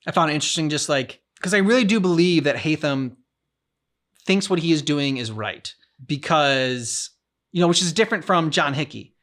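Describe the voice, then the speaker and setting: A man's voice: fairly high pitch, expressive, moderate pace, a bit bright. A man co-hosting a game reaction show, chatting casually, rambling and often repeating and restarting his phrases. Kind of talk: casual discussion of a game's story.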